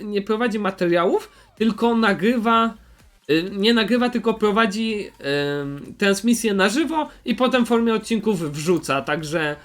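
A man giving casual, unscripted commentary as a YouTuber, hesitant and often repeating himself. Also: high-pitched. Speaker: YouTuber host recommending channels.

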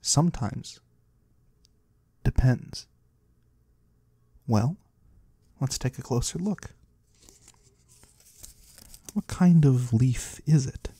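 A man playing a mysterious, courteous disembodied trader of the void in a soft-spoken ASMR roleplay, husky and breathy, slow and gently curious. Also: mid-range voice, no echo. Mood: curious, pleased. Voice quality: husky, breathy, sometimes whispering. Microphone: close, dry microphone.

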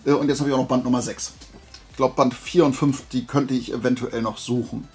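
A man's voice: medium pitch, fairly even intonation, a little fast, darkish tone, soft background music. A man chatting casually and colloquially as he hosts a show-and-tell haul video, with an enthusiastic collector's manner. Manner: conversational, colloquial, enthusiastic collector's chat with self-posed questions. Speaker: host of a flea market haul video.

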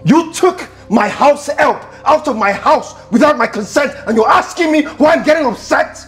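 An actor with a Nigerian accent playing a stern, possessive boss who is quick to anger, in a naturalistic screen drama. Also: male voice, medium pitch, huge pitch swings, slightly rough, soft background music, heavily acted. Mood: furious.

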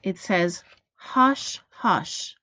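Slow-paced woman reading a storybook. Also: mid-range voice, lively intonation, pushed and bright. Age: middle-aged. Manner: slow, deliberate reading with pauses between phrases. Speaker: teacher reading a picture book aloud. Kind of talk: children's storybook read-aloud. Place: small room at home, indoors. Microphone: webcam or device at arm's length.

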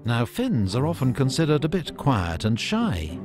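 A man's voice: mid-range voice, wildly swooping pitch, moderate pace. Guy narrating a documentary, voice swings all over, wry, music under. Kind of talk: scripted narration.

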